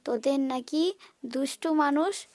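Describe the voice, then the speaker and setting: A woman's voice: sits high, measured pace, a little mellow, no room sound. A woman voicing a worried, helpless mother bird in a cartoon, anxious and motherly. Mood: weary worry. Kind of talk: Bengali moral cartoon dialogue.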